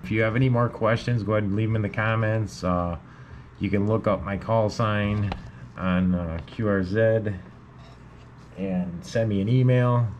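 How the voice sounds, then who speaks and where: A man's voice: on the low side, steady tone, moderate pace, muffled recording. A man giving a casual screen tutorial, informal and a bit hesitant as he thinks aloud. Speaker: amateur radio video host. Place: indoors at a computer. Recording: clearly noisy room recording.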